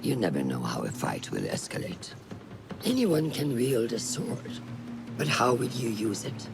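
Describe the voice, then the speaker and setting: Game role: exacting combat mentor, gravelly, very dynamic intonation, music underneath. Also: male voice, medium pitch, wildly swooping pitch, exaggerated voice. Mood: stern, challenging. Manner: measured, exacting, philosophical. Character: stern, seasoned combat mentor of a hidden assassin order. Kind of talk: dramatic video game acting.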